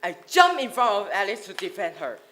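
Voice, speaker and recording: A woman's voice: medium pitch, big pitch swings, fairly quick, fairly bright tone, slight background noise. A female performer telling a story theatrically in a readers theater style. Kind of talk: dramatic readers theater narration.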